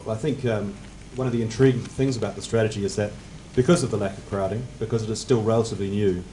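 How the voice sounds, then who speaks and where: A man in his forties answering on a conference panel at a table mic, measured and technical, his phrases fading at the ends. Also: lowish voice, fairly even intonation, warm tone, slight background noise, low-quality recording. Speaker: panelist, fund manager. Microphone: table mic on stand.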